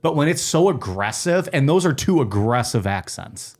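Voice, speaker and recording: A man from Ohio with an average-pitched voice banters on a podcast. He jokes, rants, and laughs often in loose, casual chat. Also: sing-song, normal speed, darkish tone. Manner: joking, ranting, laughs often. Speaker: podcast host.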